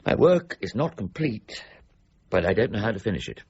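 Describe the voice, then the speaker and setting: An actor playing a proud, irascible, middle-aged scholar in a period radio drama, speaking formally with grave, clipped intensity. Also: male voice, lowish voice, very animated, moderate pace, slightly rough, muffled recording, heavily acted. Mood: brooding, intense. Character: brooding, proud, irascible ageing English scholar, stern mint warden.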